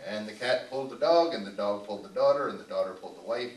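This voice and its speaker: A man telling stories live to children as a puppeteer, with dramatic, drawn-out delivery. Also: fairly low pitch. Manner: dramatic pauses and drawn-out repetition, asks the audience questions. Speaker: puppeteer and storyteller. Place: arts center live show. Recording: roomy hall, distant mic, faint noise.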